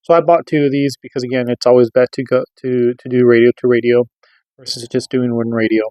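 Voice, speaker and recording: A man's voice: mid-range voice, level tone, fast, mellow, no room sound. A middle-aged American man giving a casual product review, explaining things in an informal, rambling way. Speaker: radio reviewer.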